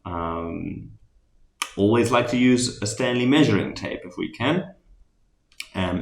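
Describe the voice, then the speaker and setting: A man from the Netherlands with a Dutch accent, giving a relaxed, rambling recap of a design workshop he presented, with many ums and restarts. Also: low-pitched, vocal fry, echoey room.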